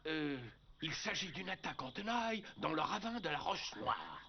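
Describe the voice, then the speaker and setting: A man's voice: fairly high pitch, expressive, normal speed, vocal fry, slight background noise. A man voicing a loyal, careful panther in a French-dubbed cartoon, explaining things earnestly. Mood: concerned explaining. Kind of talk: French cartoon dub acting. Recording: muffled cartoon soundtrack with music.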